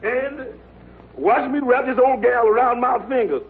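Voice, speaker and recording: An actor playing a cocky, excitable little defense lawyer in an old radio sitcom, with a florid, blustering manner. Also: male voice, very high voice, unhurried. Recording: tinny old radio, faint noise.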